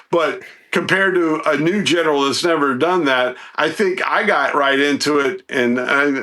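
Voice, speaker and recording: Bright-voiced man telling rambling, folksy stories. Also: mid-range voice. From United States, Southeastern Virginia. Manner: folksy, rambling, animated storytelling. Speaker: podcast guest, radio hobbyist. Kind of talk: casual chat, anecdotes about a hobby.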